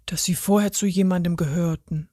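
A woman voicing a big, clumsy but sensitive male troll, slow and bewildered. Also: on the low side, fairly even intonation, warm tone, no room sound, studio quality, lightly acted.

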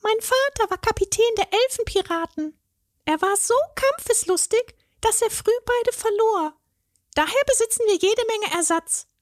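A woman voicing a tiny, indignant female elf who is a ballet dancer, with a high, scolding voice. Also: expressive, moderate pace, no echo, studio quality. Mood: proud.

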